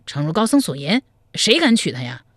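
A man's voice: fairly high pitch, big pitch swings, fairly bright tone, no echo, clean studio sound. A man doing a fretful, gullible young suitor. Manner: quick, fretful pleading. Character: anxious young male suitor, gullible, hot-tempered. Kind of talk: storytelling, acted dialogue.

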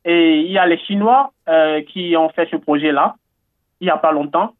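A man's voice: a little high, moderate pace, no echo. A man from Benin interviewed on the radio, talking earnestly and eagerly, with many hesitations and repeated words. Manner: earnest, enthusiastic, with frequent fillers and repetitions. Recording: tinny phone-like line, dry.

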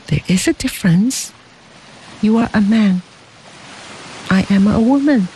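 A reader voicing a gentle, devoted young wife in a storybook, speaking softly, tenderly and earnestly. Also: medium pitch, unhurried, slight background noise, pitched above the performer's own voice, natural voice, low-quality recording.

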